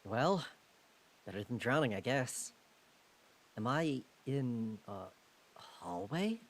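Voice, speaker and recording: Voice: medium pitch, animated, slow pace. A streamer voicing an exasperated young man in a visual novel, dramatic, sweary and bewildered.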